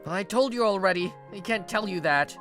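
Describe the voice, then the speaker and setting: A woman's voice: medium pitch, expressive, moderate pace, a bit bright, faint music behind. A woman voicing a stubbornly guarded, exasperated young male office recruit in a manga dub, narrating and speaking his lines defensively. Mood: guarded, resigned. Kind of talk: dramatized first-person story narration and dialogue.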